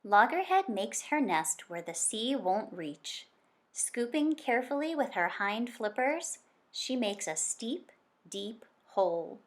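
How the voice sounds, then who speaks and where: A woman reads a children's nonfiction picture book aloud like a teacher, with expressive, deliberate storytelling phrasing and stretched key words. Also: on the high side.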